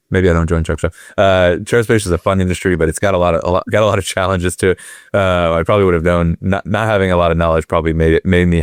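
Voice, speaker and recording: A middle-aged man with a deep voice, talking loosely in an interview, with lots of fillers and restarts. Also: smooth, no echo, clean studio sound. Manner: rambling, many fillers and restarts. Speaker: guest, company executive. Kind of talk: conversational interview.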